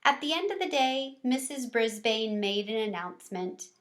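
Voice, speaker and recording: Higher-voiced woman reading a story aloud. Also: animated, normal speed. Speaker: reader of a children's book aloud. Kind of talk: casual read-aloud storytelling for children.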